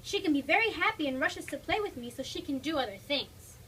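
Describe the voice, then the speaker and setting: A woman's voice: high voice, expressive, fairly quick, fairly bright tone. A woman voicing a worried, loving young girl in a dramatic storybook reading. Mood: sad, scared. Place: toy shop event, before an audience. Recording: distant mic, noisy room.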